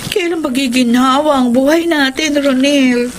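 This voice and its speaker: A woman's voice: high voice, moderate pace, slight background noise, close to an everyday voice. A woman playing a discontented young wife worn by money worries in a Tagalog radio drama, speaking in a plaintive, conversational way. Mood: frustrated, plaintive.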